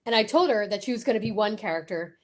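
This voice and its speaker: A woman co-hosting a live show with excited, casual banter, gushing and theatrical in manner. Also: mid-range voice, fast, very noisy. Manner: theatrical, gushing, sing-song exclamations.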